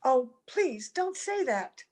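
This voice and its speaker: A woman's voice: high-pitched, big pitch swings, slow pace, a little mellow, full character voice. A woman playing an anxious, affectionate young-looking wife in an old-time radio play. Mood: anxious, pleading. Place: home, over a video call.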